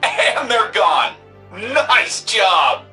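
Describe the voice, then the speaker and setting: Casting: excitable, friendly game ally, gushing and playful, with a bright, swooping delivery. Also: medium pitch, very slow, soft background music, heavily acted. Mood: playful, pleased. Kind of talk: video game mission dialogue.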